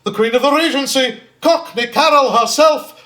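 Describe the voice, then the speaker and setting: A man's voice: extremely high pitch, moderate pace, sharp tone. An actor playing a jovial, pompous music hall chairman on stage, making florid, projected announcements that relish every alliteration. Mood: jovial, grandiose. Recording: roomy theatre, faint background noise.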